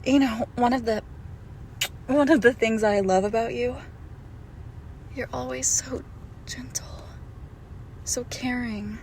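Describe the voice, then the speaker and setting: Doting, possessive monster girlfriend played by a woman, intimate audio roleplay: hushed, unhurried, gravelly creak. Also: a little high, steady tone, a little hiss or hum. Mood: tender, reassuring. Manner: slow, hushed, tender, often dropping to a whisper.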